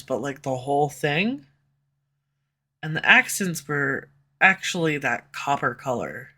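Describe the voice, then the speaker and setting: Woman doing a snarky villain, bright edgy voice, sarcastic teasing all the way through. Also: sits low. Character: snarky sharp-tongued villain, slightly tsundere. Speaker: audio roleplay voice actor. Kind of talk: scripted audio roleplay. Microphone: USB condenser mic.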